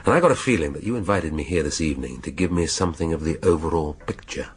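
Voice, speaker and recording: An actor playing a shrewd British police inspector in a radio drama, questioning in a measured, drily needling way. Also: male voice, extremely low pitch, animated, slight background noise. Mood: probing.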